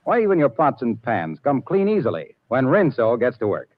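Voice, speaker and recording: A man's voice: medium pitch, huge pitch swings, moderate pace, dry recording. A man announcing a commercial in upbeat 1940s radio style, like a salesman. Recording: muffled old radio broadcast recording.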